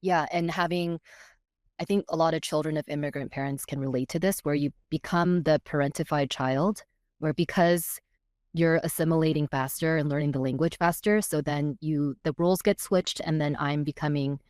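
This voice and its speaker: Low-voiced reflective woman, dry mic. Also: fairly even intonation, moderate pace, darkish tone, no echo. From born in Korea, raised in California. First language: Korean. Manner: reflective, searching for words, frequent restarts. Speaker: podcast guest. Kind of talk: casual chat.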